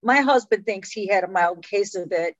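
A woman's voice: mid-range voice, moderate pace, no room sound, muffled recording. A woman in her late fifties hosting a casual health interview in a chatty, conversational way, often saying "you know". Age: late 50s. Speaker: host.

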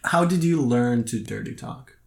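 A man's voice: medium pitch, lively intonation, normal speed, creaky. Chatty man. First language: Russian. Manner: curious, laughing, chatty. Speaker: host. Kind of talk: casual chat.

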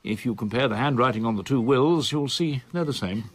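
An actor playing a reserved solicitor in his sixties in a radio drama, with a measured, formal, precise manner. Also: mid-range voice, husky, natural voice. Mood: brisk, businesslike. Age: 60s. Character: reserved older male solicitor, in his sixties, quietly confiding.

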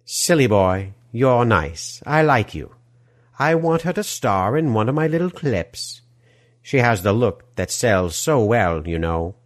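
A man's voice: on the low side, big pitch swings, measured pace, dry recording, clean studio sound, pitched above the performer's own voice. Man doing a silky, sleazy villain. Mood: oily, amused. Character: smooth, sleazy, flamboyant foreign villain.

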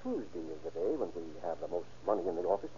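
Quick, husky, worried businessman. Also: low-pitched, animated, dark tone, exaggerated voice. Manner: hesitant, earnest, fussing. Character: worried, eager male ice plant manager. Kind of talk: 1930s radio drama acting. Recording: muffled 1930s radio recording.

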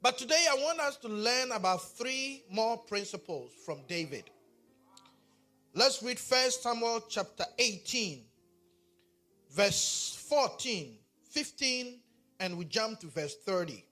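A man's voice: very high voice, slow pace, faint music behind. A man from Ghana with a Ghanaian accent, preaching a sermon and talking back and forth with his congregation. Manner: animated, talks with the congregation. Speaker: pastor.

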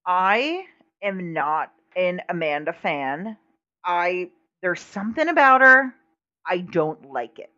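A woman's voice: lowish voice, animated, takes it slowly, bright, forceful tone, dry recording. A young woman co-hosting a podcast, talking casually with hesitant, stammering restarts. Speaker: podcast co-host. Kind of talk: casual chat. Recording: slightly muffled lo-fi audio.

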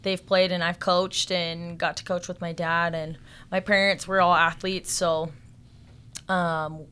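A woman's voice: on the low side, flat delivery, unhurried, a bit bright, clear, resonant tone. A woman from Salt Lake City, a personal trainer, talking in a friendly, matter-of-fact way as a podcast guest. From Salt Lake City, Utah. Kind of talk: casual interview chat.

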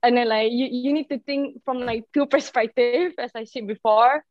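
A woman's voice: fairly high pitch, fairly even intonation, normal speed, dry recording, highs cut off. A Malaysian woman with a Malaysian accent, a podcast guest talking hesitantly and giggling often. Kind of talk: casual podcast chat.

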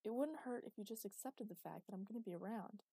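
A woman's voice: mid-range voice, fast, mellow, slightly rough, dry recording, studio quality, voice pushed up. A woman reading a man's lines, a blunt and exasperated partner, in a storybook reading. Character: man, gruff worried partner.

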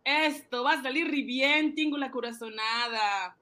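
A man voicing an excitable, boastful ice-cream seller who fancies himself a banker, cajoling and exclaiming in a comic radio drama. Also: very high-pitched, unhurried, pitched above the performer's own voice, exaggerated voice. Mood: boastful, excited.

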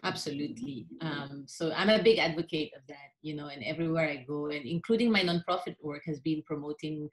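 A woman's voice: deep voice, fairly even intonation, fairly quick, hardly any pauses, rough recording. A middle-aged woman from the Philippines, a podcast guest who talks in an earnest, enthusiastic conversational way with many fillers. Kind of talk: conversational interview.

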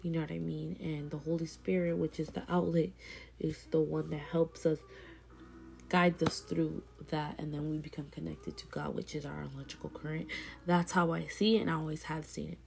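A woman in her twenties talks straight to her viewers in an earnest, encouraging way. Her voice sits low and stays fairly level, with a gravelly edge of vocal fry running through it. She often repeats a phrase to drive it home. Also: little pitch movement, normal speed, a little mellow. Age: 20s. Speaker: vlogger. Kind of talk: casual motivational talk to camera.

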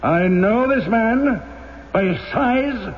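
A man playing a cold, stern French police inspector in an old-time radio drama, speaking formally and with deliberate emphasis. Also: high-pitched, expressive, slightly rough, full character voice. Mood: cold, accusing. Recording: muffled band-limited radio drama recording with music.

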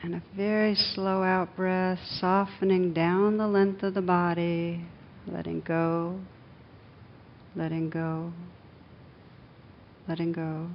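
Low-voiced woman guiding meditation. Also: little pitch movement. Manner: gentle, soothing, unhurried with long pauses. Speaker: meditation teacher. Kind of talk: guided meditation. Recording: dull muffled recording with faint rumbling noise.

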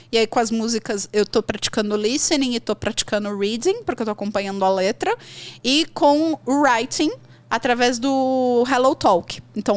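A Brazilian woman in her thirties hosting a podcast, talking in an animated, chatty and slangy way. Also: fairly high pitch, dry recording. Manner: animated, chatty, slangy, jumps between thoughts. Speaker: podcast host, English teacher. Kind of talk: casual podcast chat.

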